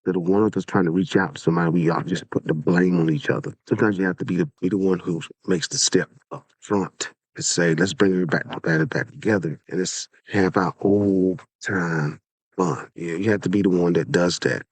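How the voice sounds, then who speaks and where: A man in his sixties speaks in a low, deep voice affected by a head cold. He talks casually and earnestly, like a podcast host urging listeners on and repeating his points. The sound is close and dry, with very little room around it. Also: fairly even intonation, a little fast, no echo. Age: 60s. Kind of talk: casual solo podcast monologue.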